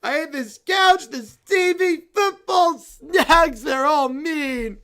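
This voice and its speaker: A woman voicing a furious little boy teddy bear, wailing and shouting his complaints through sobs. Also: a little high, animated, takes it slowly, vocal fry, pitched below the performer's own voice, full character voice. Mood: whiny tantrum.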